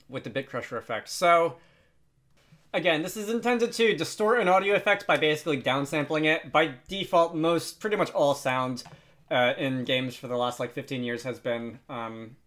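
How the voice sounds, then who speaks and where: Casual, hesitant man giving a software tutorial. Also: mid-range voice, moderate pace. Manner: hesitant, rambling, self-deprecating. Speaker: game development tutorial host.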